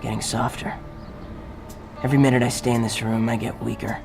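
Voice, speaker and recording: A man playing a weary, brooding army captain, narrating deadpan in a radio drama parody. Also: medium pitch, a little fast, creaky, over music, lightly acted.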